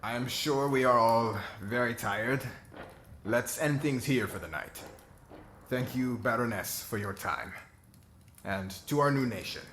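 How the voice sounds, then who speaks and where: A man playing a formal, earnest royal diplomat in an ASMR roleplay, speaking in a measured, diplomatic way. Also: medium pitch, a bit bright, a little hiss or hum, natural voice. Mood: formal, authoritative.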